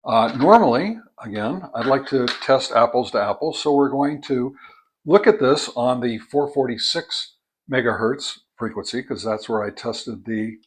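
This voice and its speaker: A man's voice: mid-range voice, measured pace, husky, low-quality recording. A man giving a casual product review and test demonstration, explaining each step methodically as a hobbyist reviewer. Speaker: ham radio product reviewer.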